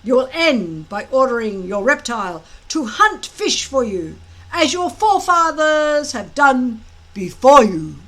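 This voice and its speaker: Woman putting on a big loud viking teacher, booming and gloating, voice way up and swinging around. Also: sing-song, heavily acted. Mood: pompous. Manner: bellowing, gloating, drawn-out. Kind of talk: audiobook character voice.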